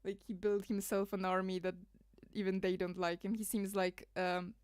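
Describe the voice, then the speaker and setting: A woman rambles through casual livestream commentary, laughing and leaning on fillers. Her voice sits at a middle pitch. The sound is dry and close. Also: level tone, normal speed, darkish tone, smooth, no room sound. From Poland. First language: Polish. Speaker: streamer.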